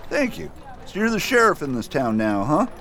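A man's voice: a little high, very animated, normal speed, fairly bright tone, a little hiss or hum. A man playing a friendly, easygoing US marshal in an audio drama. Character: steady adult male US marshal, friendly. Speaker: US marshal Richard Wade. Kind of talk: radio drama acting.

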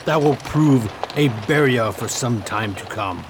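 A man playing a knowing, reassuring guide in a radio play, husky-voiced and explanatory. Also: mid-range voice, animated, unhurried, noisy background. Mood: calm, explanatory.

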